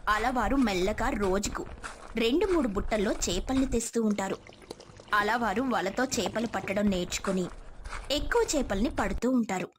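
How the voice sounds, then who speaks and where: A woman's voice: mid-range voice, expressive, moderate pace, a little hiss or hum. A woman telling a scripted moral story as its narrator.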